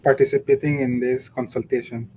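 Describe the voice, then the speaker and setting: A man's voice: mid-range voice, little pitch movement, brisk, warm tone, poor audio. A man introduces himself on a video call in a friendly, slightly hesitant way. A faint hum sits behind his voice. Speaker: assistant statistician.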